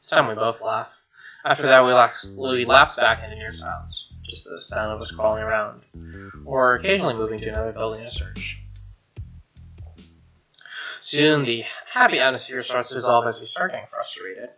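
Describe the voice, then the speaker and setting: Male let's play narrator: bright, forward voice, medium pitch, wry story reading over faint game music. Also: moderate pace, poor audio.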